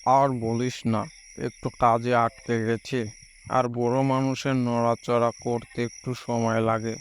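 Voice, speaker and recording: Man playing a slow, even-toned old village grandpa. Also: mid-range voice, close to an everyday voice. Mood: apologetic. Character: old village grandfather telling ghost stories to young men. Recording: noisy, with night crickets behind.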